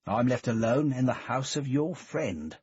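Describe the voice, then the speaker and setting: A man reading the part of a suspicious, menacing man who turns on his partner, with slow, drawn-out, threatening words in a husky voice. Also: lively intonation, darkish tone, no echo. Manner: low, threatening, drawn-out delivery. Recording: dull lo-fi recording.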